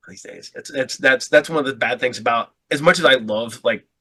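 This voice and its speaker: A man's voice: medium pitch, fairly quick, pushed and bright, husky. A male podcast host chatting casually, stammering over restarts and laughing often. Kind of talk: casual chat.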